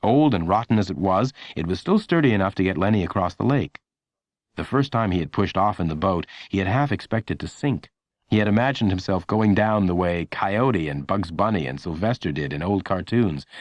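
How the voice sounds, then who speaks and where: Male narrator for a children's book: low register, lively intonation, measured delivery, dry studio sound. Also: dry recording. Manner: expressive, measured storytelling. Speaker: audiobook narrator. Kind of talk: scripted children's story narration.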